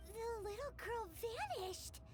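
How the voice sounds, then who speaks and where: Excitable childlike fairy sidekick, extremely high and squeaky, animated game-cartoon delivery. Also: female voice, slow, noisy background, heavily acted. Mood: startled. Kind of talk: scripted game cartoon acting.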